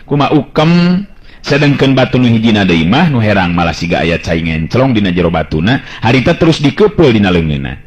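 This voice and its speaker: A man with a Sundanese accent narrating a folk tale as a dramatic radio storyteller. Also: low voice. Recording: dull lo-fi radio-style recording.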